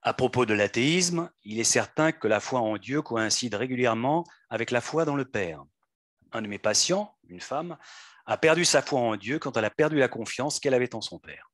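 Man, reader at a public event, mid-pitched voice, measured delivery, handheld mic, dry sound. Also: lively intonation, fairly bright tone, dry recording. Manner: measured, deliberate reading. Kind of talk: reading texts aloud at a public event. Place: conference room. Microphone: handheld microphone.

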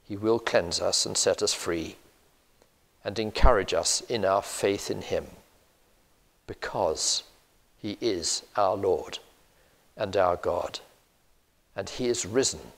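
Slow gravelly older preacher. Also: male voice, low-pitched. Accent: British English. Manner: slow, measured, earnest preaching. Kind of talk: sermon. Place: church sanctuary, indoors. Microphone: lapel mic. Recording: long church reverb.

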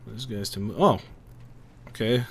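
A man's voice: fairly low pitch, a little slow, husky. Profane man reacting through casual gameplay commentary. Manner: profane, reacting aloud, thinking out loud. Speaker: gamer recording a playthrough.

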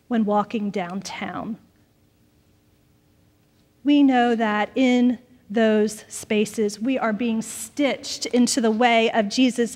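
High-voiced woman preaching in a reverberant church. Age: middle-aged. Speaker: pastor preaching. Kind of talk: sermon. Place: large wood-panelled church sanctuary. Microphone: pulpit microphone.

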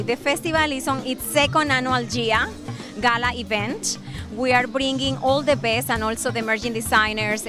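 A woman with a Colombian Spanish accent, a festival PR advisor, speaking promotionally on an outdoor red carpet. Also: fairly high pitch. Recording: music playing behind.

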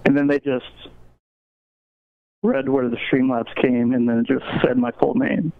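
A man's voice: medium pitch, animated, unhurried, creaky, no echo. A man calling in over a video call, telling his story plainly and matter-of-factly. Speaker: call-in guest. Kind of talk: casual chat. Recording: tinny phone-like call audio.

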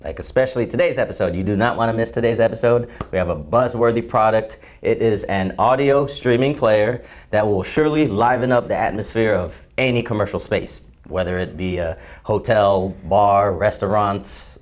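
A man in his thirties co-hosting, giving an upbeat scripted product introduction. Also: on the low side. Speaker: co-host of an AV product show.

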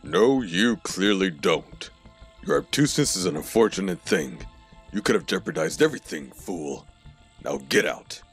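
A man voicing a stern, contemptuous, towering dark-knight general in a fantasy audiobook, with a heavy, commanding menace. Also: sits low, expressive, moderate pace, soft background music. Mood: scornful menace.

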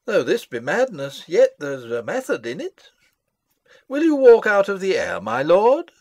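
A man's voice: high-pitched, huge pitch swings, a little slow, darkish tone, no room sound, heavily acted. A man playing a meddling, pompous old royal counsellor in a Shakespearean dramatic reading, deferential and ponderous. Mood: probing.

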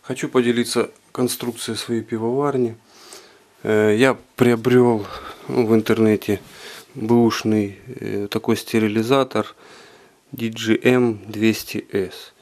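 A man giving an unscripted walkthrough of his home brewing equipment, talking haltingly with many filler sounds, recorded indoors on a handheld camera. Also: lowish voice, flat delivery, a little mellow. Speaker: home brewer. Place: indoor room with carpet.

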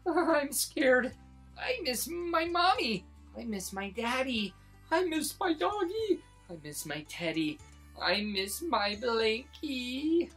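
A man puts on a small kindergarten child from a picture book. The child sounds anxious but plucky, with a very animated pitch. Music plays underneath. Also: slow, full character voice. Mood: scared, homesick.

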